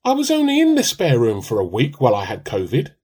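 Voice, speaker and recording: A man's voice: medium pitch, wildly swooping pitch, quick pace, dark tone, breathy edge. A man playing a grumbling, drily sarcastic husband at breakfast in a radio play. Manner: dry, wordy sarcasm.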